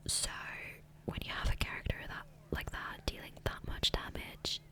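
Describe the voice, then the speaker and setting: A woman whispering gently in a casual, unhurried ASMR ramble, close to the microphone. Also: very high-pitched. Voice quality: soft unvoiced whisper. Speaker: ASMR gaming creator. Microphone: close USB condenser microphone.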